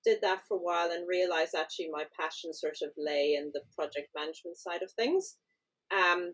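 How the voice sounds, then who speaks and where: Low-voiced Irish woman executive. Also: low-quality recording. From Ireland. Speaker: company chief executive. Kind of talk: podcast interview.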